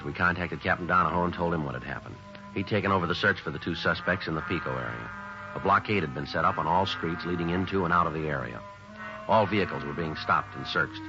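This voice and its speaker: A man playing a stern, businesslike police sergeant who narrates a 1950s radio drama in a terse, deadpan way. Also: low-pitched, quick pace, close to an everyday voice. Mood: flat, factual. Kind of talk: 1950s radio acting, clipped procedural narration. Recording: dull 1950s radio recording with music.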